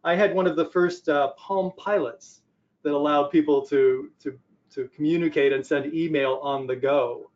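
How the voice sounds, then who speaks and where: Older male presenter, higher-pitched voice. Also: steady tone, moderate pace, a little mellow. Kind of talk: conversational business technology presentation. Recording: dull, lo-fi audio.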